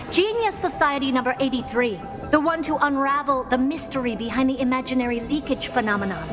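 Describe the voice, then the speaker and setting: An actress playing a haughty, theatrical genius scholar in a video game, pompous, mocking and self-assured. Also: female voice, high-pitched. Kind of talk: scripted video game voice acting.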